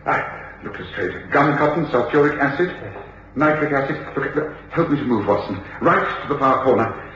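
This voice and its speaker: An authoritative English detective gives clipped, reasoned speech in a mid-range voice. It is old radio drama, roomy and dull, with some hiss. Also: male voice, medium pitch, lively intonation, normal speed, pushed and bright. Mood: urgent, commanding. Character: brisk, commanding, coolly reasoning male detective. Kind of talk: 1950s-60s British radio drama acting. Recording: echoey, dull, noisy old radio recording.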